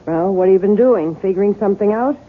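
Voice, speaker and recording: A woman's voice: mid-range voice, fast talker, natural voice. An actress playing a blunt, practical, nosy mother-in-law in a 1970s radio drama. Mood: nosy, disapproving. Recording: muffled narrow-band old radio broadcast.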